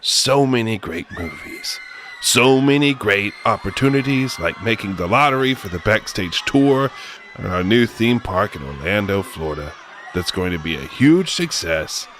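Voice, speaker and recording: A man playing a boastful, excitable company boss given to wistful self-pity, theatrical and rambling in a comedic radio drama. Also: lowish voice, normal speed, slight background noise. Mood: boastful, excited.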